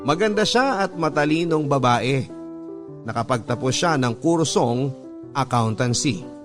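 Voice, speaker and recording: An actor narrating in the first person as a sincere young pilot, with a Filipino accent, in scripted radio-drama style. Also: male voice, mid-range voice, expressive, measured pace, over music, lightly acted. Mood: confident, sincere. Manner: earnest, sincere. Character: earnest young male pilot telling his life story.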